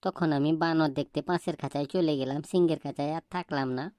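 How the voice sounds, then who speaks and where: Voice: mid-range voice, steady tone, fast, a little mellow, no room sound, studio quality, lightly acted. A performer voicing a cartoon comedy character in a declarative, storytelling manner. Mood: boastful. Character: boastful joking schoolboy and stern classroom teacher.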